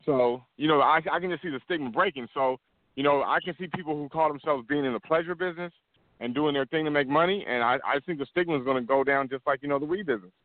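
A man's voice: a little high, fast talker, no room sound. A man co-hosting a casual talk show, telling a rambling story with many restarts while he walks. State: walking while talking. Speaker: podcast co-host. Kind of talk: casual chat. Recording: tinny phone-like line.

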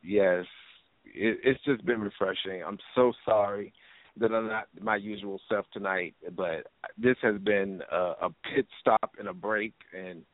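A man talking casually and haltingly, with effusive gratitude. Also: fairly low pitch, fairly even intonation. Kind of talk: casual conversational talk. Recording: phone-like narrow band, roomy echo.

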